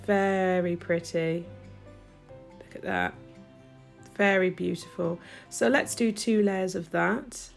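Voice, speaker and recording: A woman's voice: on the low side, expressive, takes it slowly, creaky, pauses often, faint music behind. Chatty woman, stuffy nasal voice. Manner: chatty, gushing, talks while working. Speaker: nail technician demonstrating gel polish. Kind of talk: chatty tutorial voice-over. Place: home nail studio.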